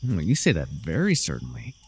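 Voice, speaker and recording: A man performs a young dark lord in a fantasy ASMR roleplay, smug and urbane. His voice sits a little low with a gravelly fry, and it swings expressively through theatrical, teasing mockery. The delivery is dry and drawling, that of a villain who enjoys toying with a rival. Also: huge pitch swings, a little fast, full character voice. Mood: cool, smug.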